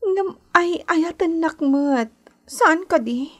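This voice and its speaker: High-voiced, scheming lovelorn young woman. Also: animated, takes it slowly, full character voice. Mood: coaxing, lovelorn. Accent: Ilocano. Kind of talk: Philippine radio drama acting.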